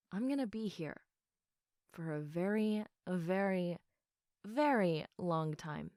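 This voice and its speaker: Slow, soft, sleepy girlfriend, acted by a woman. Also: on the low side, smooth, dry recording, studio quality. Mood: tender, reassuring. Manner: soft, unhurried, often giggly, dropping into whispers. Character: sleepy, affectionate, reassuring girlfriend cuddling her partner. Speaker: audio roleplay performer. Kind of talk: intimate girlfriend roleplay, sleep-aid ASMR.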